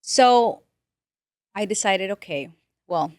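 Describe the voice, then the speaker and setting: A woman's voice: medium pitch, slow pace, no echo, studio quality. A woman from Peru, a native Spanish speaker in her late twenties, telling her story earnestly as a guest financial advisor. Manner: earnest, animated storytelling with restarts. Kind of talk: casual interview talk.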